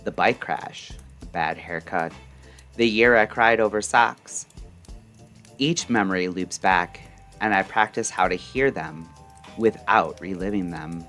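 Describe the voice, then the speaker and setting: Man, lowish voice, very unhurried, reflective scripted radio narration, deliberate phrasing, faint music behind. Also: fairly bright tone. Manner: measured, introspective, deliberate short phrases. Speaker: narrator and host of a late-night radio style audio show.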